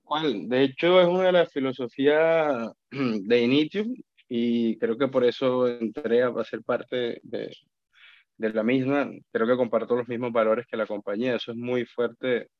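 A man's voice: mid-range voice, a little slow, no room sound. A Colombian man in his thirties, speaking as a podcast guest with a Colombian Spanish accent. He talks reflectively, stopping to repeat and restart words. The recording is dull and lo-fi, with a dry, close sound. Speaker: guest, account manager. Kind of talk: casual podcast conversation.

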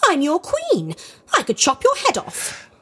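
A woman's voice: very high voice, wildly swooping pitch, measured pace, a bit bright, full character voice. An actress playing an imperious, playful and callous young queen of ancient Egypt in an audio drama. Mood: haughty, playful. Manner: haughty, teasing.

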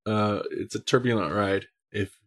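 A man's voice: lowish voice, steady tone, normal speed, fairly bright tone. A man hosting a casual film discussion on a podcast. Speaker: podcast host.

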